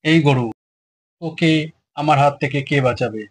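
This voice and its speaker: Man playing a husky, bullying cartoon tiger. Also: a little high, moderate pace, dry recording. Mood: menacing. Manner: menacing, imperious. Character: bullying, hungry tiger of a children's fable. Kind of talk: children's cartoon voice acting.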